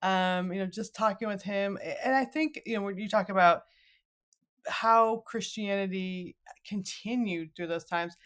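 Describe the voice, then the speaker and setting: A woman's voice: mid-range voice, measured pace, a bit bright. A middle-aged woman hosting a podcast, talking casually with her guest in a conversational interview style. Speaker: podcast host.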